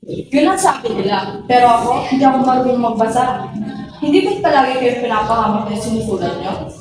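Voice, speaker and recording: A woman's voice: on the high side, expressive, brisk, lightly acted. Film drama: plain-spoken challenging woman played by an actress, off-mic, heavy noise behind. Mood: blunt, challenging. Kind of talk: amateur film dramatization acting. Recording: very echoey, distant, loud noise.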